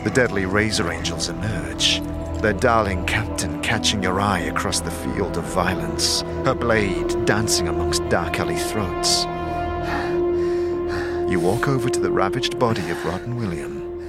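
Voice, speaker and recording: Man, lower register, dramatic scripted narration for fantasy audio drama, heavy music bed. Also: measured pace. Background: prominent music.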